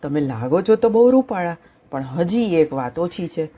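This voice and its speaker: A woman's voice: on the low side, very animated, moderate pace, dark tone, breathy edge. A woman voicing a sweet, clever farm girl. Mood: coaxing. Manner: sweet, teasing, coaxing.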